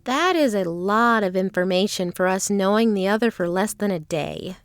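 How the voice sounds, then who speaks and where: A woman playing an earnest, guarded traveling performer with formal, measured phrasing in a fantasy audio drama. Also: mid-range voice, clean tone, faint music behind, close to an everyday voice. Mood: composed, earnest.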